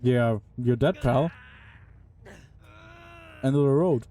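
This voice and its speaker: A man's voice: medium pitch, slow, dark tone. A young man streaming a game, casually commenting and chatting with his viewers in a puzzled, talkative way. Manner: puzzled, chatty, talks to chat. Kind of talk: casual live-stream commentary while playing.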